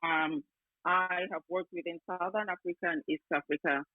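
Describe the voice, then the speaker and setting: A man's voice: sits high, fairly even intonation, normal speed, no room sound. A man from South Sudan speaking earnestly in an online panel discussion, often repeating words as he searches for them. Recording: tinny phone-like call audio.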